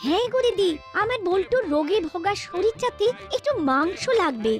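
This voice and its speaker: A woman's voice: very high voice, big pitch swings, normal speed, soft background music. An actress voicing a strict mother in a cartoon, with a firm, reproving manner. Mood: earnest. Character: shrill, strict mother of a sickly boy. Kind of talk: cartoon voice acting.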